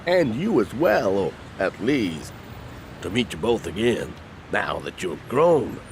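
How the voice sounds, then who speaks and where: A man acting in an audio drama as a gentle, wise older family friend with a measured, folksy manner. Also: lowish voice, very animated, a little hiss or hum, full character voice. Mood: fond, welcoming.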